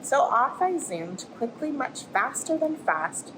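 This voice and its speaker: A woman voicing a friendly, plucky little octopus in an expressive rhyming picture-book read-aloud. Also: high voice, slow pace, voice pushed up, full character voice, rough recording. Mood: storytelling, suspenseful. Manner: expressive storytelling. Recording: clear background noise, aquarium room ambience.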